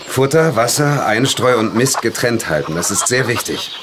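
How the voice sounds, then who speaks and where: An actor playing a careful, serious horse veterinarian in an audio drama, measured and instructive. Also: male voice, medium pitch, lively intonation, background noise, natural voice. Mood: serious, firm.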